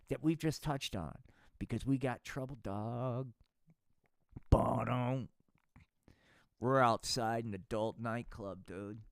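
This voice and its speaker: A man's voice: mid-range voice, clear, resonant tone, no room sound, clean studio sound. A man narrating an improvised live puppet show, rambling and crude, tossing in "man" often.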